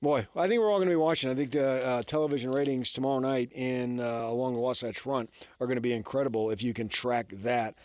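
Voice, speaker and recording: A man hosts sports talk radio in a close, dry studio sound. His pitch sits in an ordinary middle range. He talks casually and conversationally, with a folksy touch and plenty of rhetorical questions. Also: fast talker, a little mellow, no echo.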